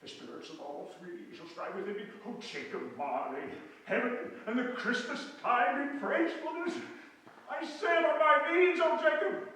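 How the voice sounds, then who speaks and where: High-voiced, giddy reformed old miser. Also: male voice, moderate pace, fairly bright tone. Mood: awed relief. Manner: effusive, theatrical, exclamatory. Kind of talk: reader's theatre stage acting. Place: small stage hall with audience, far camera. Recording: distant echoey hall, faint noise.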